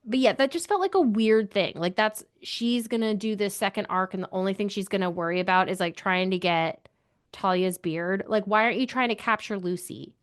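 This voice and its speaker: A woman's voice: medium pitch, fairly quick. A woman with a mid-range voice co-hosts a book club podcast. She talks in a loose, conversational way, informal and ready with an opinion. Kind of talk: casual book discussion chat.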